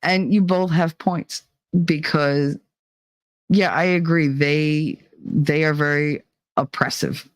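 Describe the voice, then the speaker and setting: A woman's voice: low voice, fairly even intonation, slow, no echo. A middle-aged woman hosting a livestream, reading chat and ranting bluntly, mocking people with laughter and put-on voices. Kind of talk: casual opinionated livestream chat.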